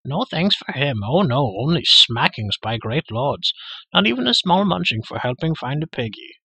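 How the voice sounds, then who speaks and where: A man's voice: a little high, big pitch swings, normal speed, gravelly, sharp tone, husky, no echo, pitched above the performer's own voice, full character voice. A man voicing a fearful, fawning wild creature who whimpers and speaks of himself in the third person. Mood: whining. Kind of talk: audiobook character voice.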